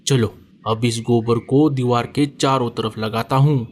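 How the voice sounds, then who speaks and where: A man's voice: medium pitch, steady tone, unhurried, a little mellow, lightly acted. A man voicing a friendly male bird in a cartoon story, speaking politely and gratefully.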